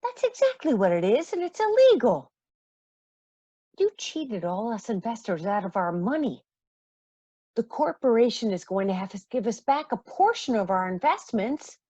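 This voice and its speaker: Expressive high-voiced mother, acted by a woman. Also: breathy edge, no room sound, heavily acted. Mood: angry, desperate. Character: caring, generous mother, a singer struggling with money. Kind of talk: radio play acting.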